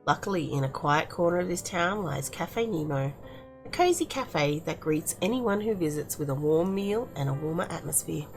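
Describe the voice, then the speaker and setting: A woman's voice: low-pitched, creaky, low-quality recording. A woman hosting a casual game review, talking in a chatty, appreciative way.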